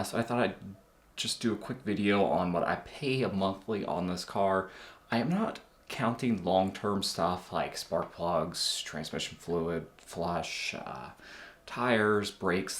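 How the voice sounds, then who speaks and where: A man's voice: sits low, unhurried, reverberant. A man in his thirties hosting his own channel, talking casually with plenty of fillers and restarts. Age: 30s. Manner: relaxed, chatty, lots of fillers. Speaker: car channel host. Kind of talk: casual talk to camera.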